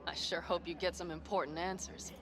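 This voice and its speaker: An actress voicing a proud, stubborn young nomad woman in a video game, sharp-tongued. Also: on the low side, steady tone, brisk, crowd noise, natural voice. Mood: brisk. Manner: sharp, bitter.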